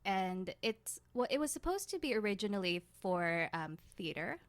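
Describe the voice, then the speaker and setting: A woman's voice: medium pitch, sing-song, moderate pace, no echo. A woman talking casually as a guest in an interview. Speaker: guest, radio drama writer and producer.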